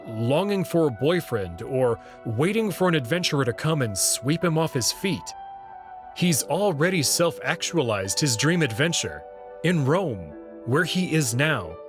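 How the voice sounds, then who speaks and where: A man giving scripted narration and commentary as the reader of a story. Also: on the high side.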